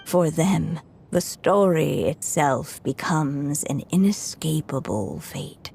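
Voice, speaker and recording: Anya, a frail, wistful old writer, speaks slowly and softly in a mellow, low voice in a game story scene. Her words come with a quiet, breathy resolve, and soft music plays behind her. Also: animated, heavily acted. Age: older. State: weak, coughing. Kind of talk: story cutscene voice acting.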